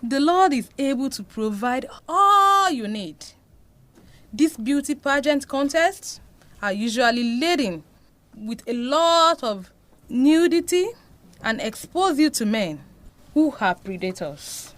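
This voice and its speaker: A woman's voice: sits high, expressive, takes it slowly, fairly bright tone, no room sound, heavily acted. A woman playing a devout, concerned mother in an audio drama, earnest and admonishing, with a Nigerian accent. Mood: worried. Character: devout, concerned mother counselling her daughter.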